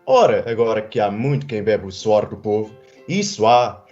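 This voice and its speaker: Pedantic village schoolmaster: very lively intonation, oratorical grievance, radio drama, muffled recording with guitar behind. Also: male voice, on the low side, normal speed. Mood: pedantic, lecturing. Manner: oratorical, indignant. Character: pedantic, aggrieved village schoolmaster arguing politics. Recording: dull lo-fi audio over plucked guitar.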